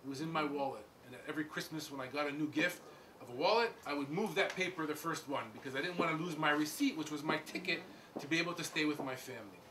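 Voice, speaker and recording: A man's voice: a little high, fast talker. A middle-aged man from the United States tells his life story to a visiting group in a conversational way, explaining step by step and adding asides. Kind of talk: informal talk to a group. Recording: distant mic with audible room noise.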